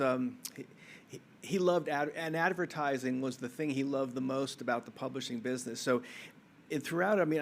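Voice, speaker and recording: Male panel speaker, mid-pitch, faint hiss behind, halting and self-correcting. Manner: rambling, self-correcting, many restarts. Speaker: symposium speaker. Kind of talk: answering audience question.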